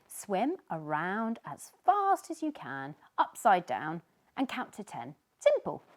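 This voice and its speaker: A woman voicing a tiny, kind storybook fish who is reassuring and encouraging, with expressive delivery. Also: on the low side, slow pace, exaggerated voice. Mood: instructing.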